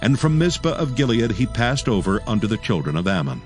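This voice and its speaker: A man with a fairly low voice narrates scripture in a formal, measured way. Faint music runs beneath his scripted Bible storytelling. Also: very animated, darkish tone.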